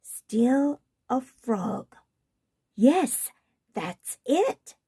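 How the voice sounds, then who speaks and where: A woman's voice: on the high side, very animated, very slow, dark tone, husky, pitched above the performer's own voice, exaggerated voice. A woman voicing a polite, earnest young storybook prince with a slightly flustered manner. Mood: dawning idea.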